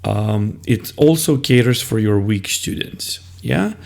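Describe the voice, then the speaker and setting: A man explaining classroom methods as a teacher, in a deliberate, step-by-step instructional style. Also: on the low side, vocal fry, darkish tone.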